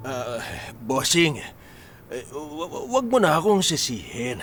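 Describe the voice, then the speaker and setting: A man's voice: medium pitch, sing-song, takes it slowly, fairly bright tone, faint music behind. An actor with a Filipino accent playing a working man in a radio drama. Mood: nervous, guilty.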